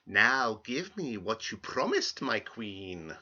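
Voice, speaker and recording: A man voicing a sly, mischievous, quick-tempered little man from a storybook, in a theatrical, emphatic manner. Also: fairly low pitch, very animated, bright, forceful tone, dull audio, heavily acted. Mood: sly, bargaining. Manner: theatrical, emphatic drawn-out refusals. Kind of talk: storybook character voice.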